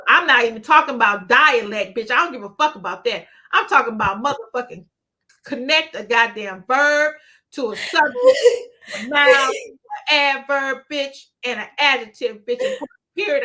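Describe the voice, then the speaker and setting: A woman co-hosting a casual podcast chat, talking bluntly with lots of profanity and animated rants. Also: on the high side, poor audio. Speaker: podcast co-host.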